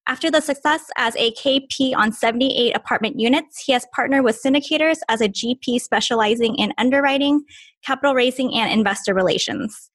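A woman's voice: high voice, a little fast, fairly bright tone, no room sound. A woman co-hosting a podcast, reading the show opening and guest introduction in an upbeat way. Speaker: podcast co-host.

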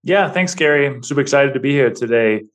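A middle-aged man speaks as a podcast guest, casual and open. His delivery keeps looping back on itself, with frequent restarts and a habit of checking in with "right?" His pitch sits in an ordinary middle range. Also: fast talker, a bit bright. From Denmark. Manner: restarts often, tags points with "right?". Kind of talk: casual interview talk.

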